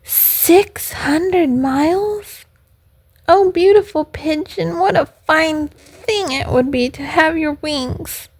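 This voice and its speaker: Woman acting out a childlike wooden puppet boy, very high voice, plaintive, emotional, exclaiming, storybook style. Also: rough recording. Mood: excited. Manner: plaintive, exclamatory, rapid questioning. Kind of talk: storybook character voice.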